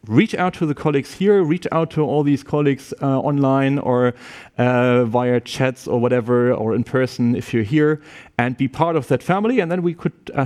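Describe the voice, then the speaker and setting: A man's voice: medium pitch, a little mellow. A man speaking informally to prospective students at a university open day, loose and chatty, with many restarts and "yeah?" tags.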